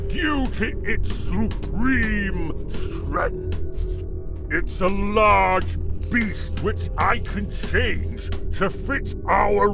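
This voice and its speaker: An actor playing a boastful, impatient, brutish builder of war machines in a video game, speaking in a pompous, emphatic way. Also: male voice, sits high, full character voice. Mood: proud, boastful, then explaining, menacing. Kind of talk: video game character dialogue. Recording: game dialogue under loud music. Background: loud game music.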